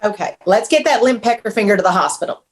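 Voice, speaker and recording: An actress reading a blunt, crude friend who mocks the husband in a table read. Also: female voice, mid-range voice, animated, quick pace, close to an everyday voice. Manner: blunt, mocking. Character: brash, crude female friend.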